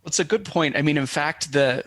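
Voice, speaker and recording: A man's voice: a little high, moderate pace, fairly bright tone, no room sound. A man speaking as an academic interview guest, explaining things in a scholarly, discursive way with frequent self-corrections.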